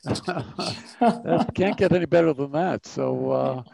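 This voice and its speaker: A man's voice: a little high, measured pace, creaky, dark tone, rough recording. A middle-aged man hosting a design podcast, friendly and loose, with lots of "uh" and restarts. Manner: hesitant, many fillers. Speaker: podcast host. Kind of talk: podcast interview.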